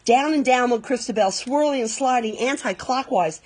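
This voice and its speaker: A woman reading a children's picture book aloud expressively, pausing to explain things to young listeners. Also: on the high side, darkish tone. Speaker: read-aloud storyteller.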